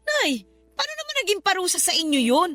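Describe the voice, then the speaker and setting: Defiant pregnant daughter, radio drama, piercingly high voice, sharp brightness, pleading but firm. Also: female voice, sing-song, fairly quick, soft background music, full character voice. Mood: defensive, pleading. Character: defiant pregnant grown daughter standing up to her mother. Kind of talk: Filipino radio drama acting.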